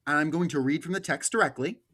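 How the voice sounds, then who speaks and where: A man's voice: fairly high pitch, fast, a bit bright. A man with an American accent giving political commentary as a podcast host, partly reading from notes.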